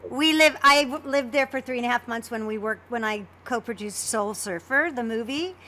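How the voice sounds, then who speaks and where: Bright, high-voiced woman, emphatic. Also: normal speed, slight background noise. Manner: emphatic, interjecting. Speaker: podcast co-host, producer. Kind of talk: casual chat.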